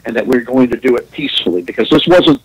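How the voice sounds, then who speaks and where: A man's voice: medium pitch, fast, husky, low-quality recording. A man who grew up in Japan, hosting a radio talk show, rambling through an opinionated monologue with wry chuckles.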